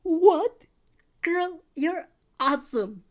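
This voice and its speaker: A woman's voice: high voice, big pitch swings, fairly quick, a little mellow, pitched above the performer's own voice. A woman reading a story aloud, voicing a bubbly, fiercely supportive teenage girl in an exuberant, emphatic, teasing way. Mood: gushing. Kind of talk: character dialogue in amateur story reading.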